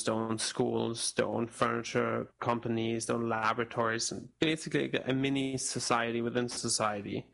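Young male guest, German native, gravelly voice, thoughtful and hesitant, restarts a lot. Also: medium pitch, fairly bright tone, rough recording. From Spain, raised in Portugal. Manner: reflective, halting with restarts. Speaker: interview guest, musician. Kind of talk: casual interview talk.

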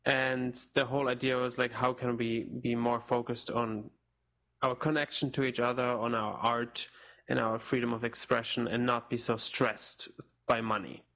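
Gravelly young man, reflective and halting. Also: mid-range voice, flat delivery, a bit bright, poor audio. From Spain, raised in Portugal. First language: German. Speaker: interview guest, musician. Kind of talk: casual interview talk.

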